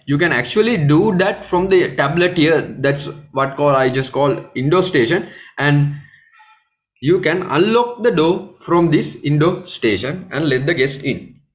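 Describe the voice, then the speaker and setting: Sri Lankan man, higher-pitched, presenting technical training. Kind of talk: live webinar training presentation.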